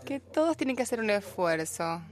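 A woman with a Rioplatense Spanish accent doing simultaneous interpretation, relaying speech in a steady, even way. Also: medium pitch, fairly quick.

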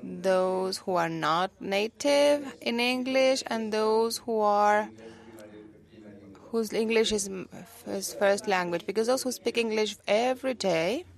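A woman's voice: medium pitch, slow pace, vocal fry. A woman interpreting live into English, rephrasing on the fly with small restarts.

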